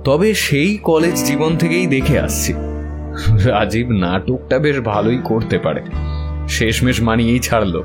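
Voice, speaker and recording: A man narrating a scripted first-person story in a measured, literary, dramatic way. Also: mid-range voice, lively intonation. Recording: prominent guitar music bed. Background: plucked guitar music.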